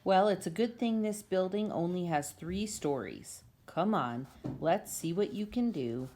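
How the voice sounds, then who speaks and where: A woman's voice: fairly low pitch, lively intonation, a little slow, warm tone. A woman voicing a mild, humble, patient man from a storybook, speaking politely. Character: mild, humble, patient husband with pet penguin. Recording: clear background noise.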